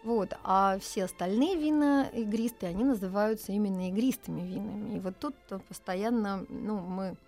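A woman's voice: medium pitch, normal speed, few pauses, a little mellow, faint music behind. Woman explaining as a wine expert. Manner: explanatory, patient, with fillers. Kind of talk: radio interview explanation.

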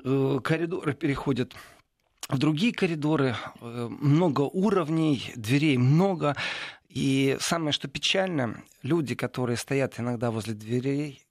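A man answering interview questions in a relaxed, digressive way, with many fillers and asides. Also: medium pitch, a little slow, dry recording, studio quality. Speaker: guest describing parliamentary assembly.

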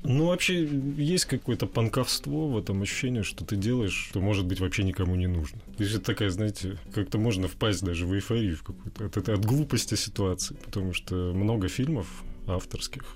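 A man with a fairly low voice gives an informal interview answer. He feels his way through sentences haltingly, dropping in colloquial, slangy turns. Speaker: interview guest, animation artist.

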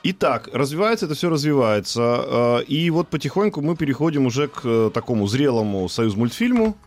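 A man's voice: mid-range voice, very animated, brisk, faint music behind. A man hosting a talk show in a casual, joking way, often rephrasing himself. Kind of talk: casual, joking studio chat.

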